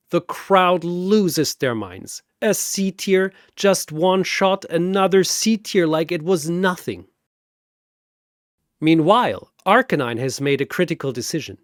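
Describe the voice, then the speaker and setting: A man narrating a scripted comic fantasy battle in a theatrical, deadpan manner. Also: a little high, dry recording, clean studio sound.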